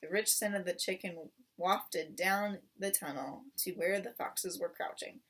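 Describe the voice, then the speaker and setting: A woman's voice: fairly low pitch, measured pace, fairly bright tone, slightly rough, distant mic. A woman reading a children's book aloud as a storyteller. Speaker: storybook reader.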